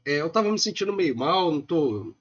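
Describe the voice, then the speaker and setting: A male lecturer with a Brazilian accent speaks in a fairly high, slightly hoarse voice, stretching his sentences with filler sounds. The audio is dull and lo-fi, like a home webcam recording. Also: animated, fairly quick. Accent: Brazilian Portuguese. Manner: informal, many filler sounds. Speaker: economics lecturer.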